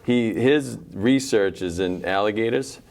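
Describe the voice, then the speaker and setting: Deep, smooth-voiced enthusiastic teacher. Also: male voice, a little mellow. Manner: enthusiastic, halting. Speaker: high school educator guest. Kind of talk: casual chat.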